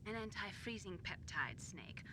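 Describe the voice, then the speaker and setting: A cool, composed female military scientist, played by an actress in a video game. She explains things evenly over a noisy, rumbling track. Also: lively intonation, moderate pace, close to an everyday voice. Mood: cool, explanatory.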